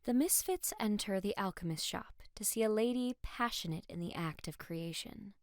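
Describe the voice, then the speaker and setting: A woman's voice: medium pitch, moderate pace, dry recording, clean studio sound. A woman giving scripted narration for a fantasy story.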